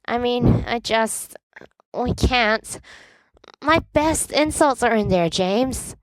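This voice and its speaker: A woman playing a dramatic, mischievous teenage boy in a storybook reading, with an excitable, playful delivery. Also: on the high side, slow, no room sound, voice pushed up, rough recording. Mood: betrayed.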